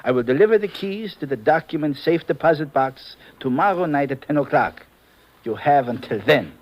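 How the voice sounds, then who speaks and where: An actor playing a sly, greedy broker with a German accent in a 1950s radio drama, suave, smug and formal. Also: male voice, fairly high pitch, lively intonation, moderate pace, muffled recording. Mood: amused, oily.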